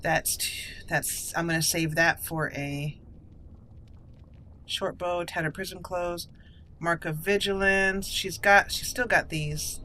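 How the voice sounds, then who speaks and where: A woman's voice: fairly low pitch, fairly even intonation, takes it slowly, bright, forceful tone, a little hiss or hum. A woman giving casual roleplay commentary as she plays through a video game. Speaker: gaming channel host.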